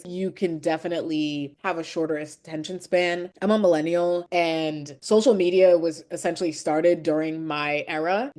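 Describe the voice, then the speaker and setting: A woman's voice: on the low side, darkish tone. A woman in her thirties whose first language is French, hosting a solo podcast in a chatty, reflective way and laughing lightly between phrases. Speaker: podcast host. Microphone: podcast microphone.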